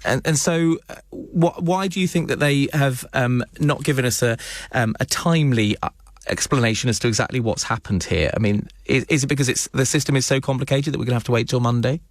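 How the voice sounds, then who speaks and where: A man's voice: mid-range voice, brisk, no room sound, studio quality. A British man presenting radio news and interviewing live, with hesitant, wordy questioning.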